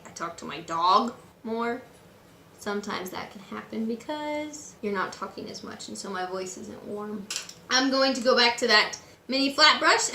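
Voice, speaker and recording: A woman with a raspy voice today, casually talking through a makeup tutorial at home. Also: mid-range voice, echoey room, a little hiss or hum. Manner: chatty, relaxed and instructive, with frequent fillers. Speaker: beauty vlogger. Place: room at home.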